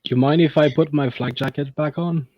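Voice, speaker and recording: A man's voice: on the high side, fairly even intonation, fairly quick, dry recording, muffled recording, lightly acted. A man voicing an earnest Russian soldier in a tabletop game, speaking clipped, broken English with a put-on Russian accent.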